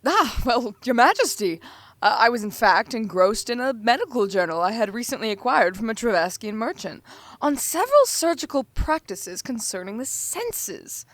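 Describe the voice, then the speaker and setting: Actress as a nervous bookish young lord, lively, dry close mic. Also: female voice, a little high, dry recording. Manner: flustered, formal. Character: young nobleman heir, anxious and bookish.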